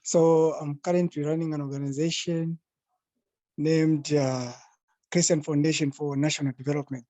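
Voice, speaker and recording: A man's voice: fairly high pitch, flat delivery, normal speed, dark tone, dry recording. A young man from Zambia, a participant asking a question in an online meeting, earnest and repeating words as he finds his phrasing. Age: young adult. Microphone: video call.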